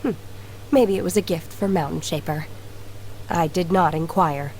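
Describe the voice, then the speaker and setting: A woman playing a reserved, earnest young woman in a video game, speaking in a calm, formal, literal way. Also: on the low side, moderate pace, a little hiss or hum, lightly acted, rough recording. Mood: calm, polite.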